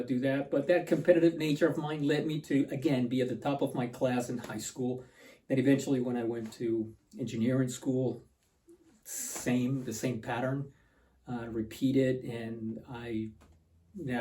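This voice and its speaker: A man's voice: mid-range voice, warm tone. A man from Peru, a native Spanish speaker, talks reflectively and informally to viewers, often repeating words as he goes. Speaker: patent attorney, video host. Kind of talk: informal explainer to camera, personal storytelling. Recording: distant from the microphone.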